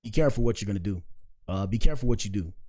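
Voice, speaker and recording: A man's voice: fairly low pitch, expressive, very fast, dark tone. Casual, slangy man hosting a livestream. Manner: slangy, swearing, repeats himself emphatically. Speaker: livestream show host. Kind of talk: casual reaction commentary.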